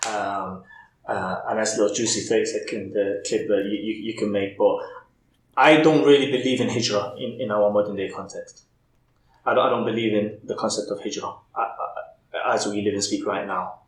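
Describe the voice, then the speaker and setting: A man's voice: on the low side, fairly even intonation, moderate pace, slight background noise. A man from England, a podcast guest thinking aloud candidly, often restarting his sentences. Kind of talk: casual interview chat. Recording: roomy echo.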